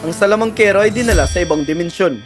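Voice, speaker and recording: Male fairy-tale narrator, high-pitched, scripted storytelling, clear music behind. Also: quick pace.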